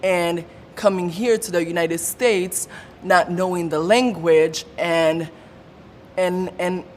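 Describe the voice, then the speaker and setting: Woman, lowish voice, unhurried testimony, stutters with blocks and repetitions; reverberant room, faint rumble. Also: fairly even intonation. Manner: stutters with repeated sounds, blocks and pauses. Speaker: support group member sharing her story. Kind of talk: personal testimony.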